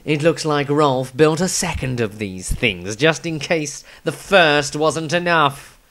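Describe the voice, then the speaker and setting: A man's voice: a little high, lively intonation, takes it slowly, a bit bright, pitched above the performer's own voice. A man voicing a smirking, sarcastic adventurer in a fantasy storybook, dry and sardonic.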